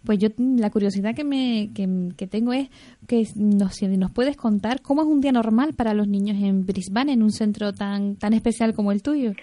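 A woman's voice: medium pitch, normal speed, mellow, no room sound. A woman hosting a radio interview, asking friendly, encouraging questions. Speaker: radio host.

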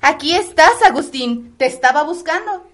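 A woman's voice: sits high, animated, normal speed, slight background noise. A woman playing a tender, devoted lady of the house in a melodramatic radio soap, with a Mexican accent. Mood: affectionate, bright. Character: grieving, devoted wife and genteel lady of the house. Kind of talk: radio soap opera acting.

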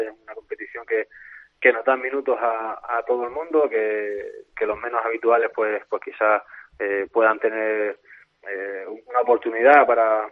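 A man with a softened Canarian Spanish accent, a footballer speaking in a phone interview, hesitant and repeating words with many fillers. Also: mid-range voice. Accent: Canarian Spanish, a little softened. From Canary Islands. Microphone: telephone. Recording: tinny phone line.

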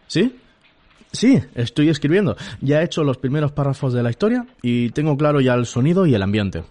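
Man as frazzled scriptwriter, medium pitch. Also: sing-song, brisk, warm tone, close to an everyday voice. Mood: harried. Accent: Spain. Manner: hesitant, exasperated, sighing. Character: frazzled, apologetic, grumbling male scriptwriter. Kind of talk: audio fiction acting, naturalistic.

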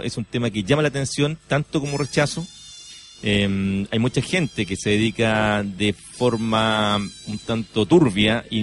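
Halting low-voiced Chilean man on radio. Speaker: radio co-host interviewing a guest. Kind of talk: conversational radio interview. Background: background music.

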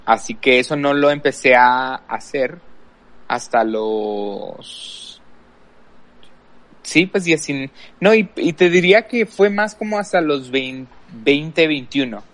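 Male podcast guest, medium pitch, unscripted personal storytelling, hesitant delivery. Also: expressive, takes it slowly, fairly bright tone. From El Paso, Texas, USA. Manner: hesitant, thinking aloud. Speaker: podcast guest, worship leader.

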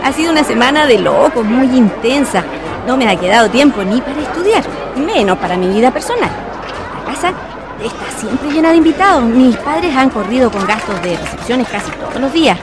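An actress playing a cheerful young woman running for spring queen, talking in a lively, warm-hearted way. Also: a little high, brisk, noisy background. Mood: chatty. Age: young adult. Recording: radio drama with music and crowd. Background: music and crowd at a party.

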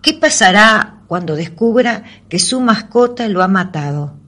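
A woman's voice: medium pitch, animated, a little slow, fairly bright tone. A woman narrating an amateur radio play from a script. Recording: audible background noise.

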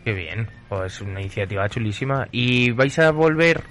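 A man's voice: medium pitch, lively intonation, normal speed, a bit bright. A man with an accent from Spain, working as a radio interviewer in a casual, chatty style.

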